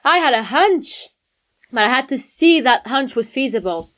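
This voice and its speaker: Woman doing keen young detective in an audio play, high voice, showy big-reveal delivery. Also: animated, moderate pace, fairly bright tone. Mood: dramatic, confident. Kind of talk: amateur audio drama, detective monologue.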